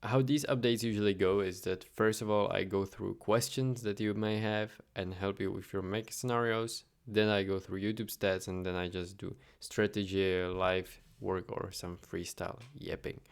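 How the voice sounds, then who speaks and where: Gravelly low-voiced man chatting casually. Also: moderate pace, few pauses. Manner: relaxed, chatty, thinks aloud with fillers. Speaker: automation agency owner vlogging. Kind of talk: casual screen-share vlog.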